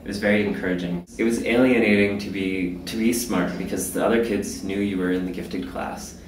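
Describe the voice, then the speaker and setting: A man's voice: deep voice, steady tone, a little fast, reverberant, recorded from a distance, slight background noise, rough recording. A man reflecting on his school years in a casual interview, speaking hesitantly. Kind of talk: casual recollection.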